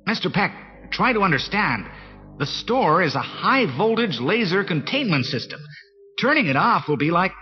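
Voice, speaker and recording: A dull cassette recording holds a man acting an earnest scientist. He is raised in pitch and reasons urgently, with technical precision, as a ghost hunter in a children's read-along. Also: sing-song, normal speed, in a large hall, soft background music. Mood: urgent, pleading.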